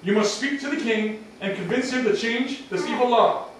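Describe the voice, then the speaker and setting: Guy doing solemn pleading uncle in a puppet show, high voice, mic far off in echoey room. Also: moderate pace. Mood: urgent, solemn. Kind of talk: amateur puppet show acting. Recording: echoey room, distant mic, faint noise.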